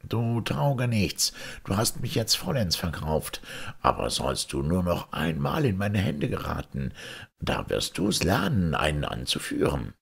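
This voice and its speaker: A man acts out a stern storybook sorcerer. He orders and threatens with cunning authority, in a gravelly voice pushed up from the narrator's usual pitch. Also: huge pitch swings, a little slow, full character voice. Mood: angry, threatening. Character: stern, cunning male sorcerer master, commanding.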